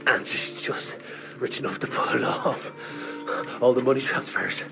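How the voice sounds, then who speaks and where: A man voicing a jittery, talkative, foul-mouthed vampire informant in a game cutscene, halting and agitated, with a creaky voice. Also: mid-range voice, fairly even intonation, music in the background. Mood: secretive. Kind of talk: video game cutscene acting.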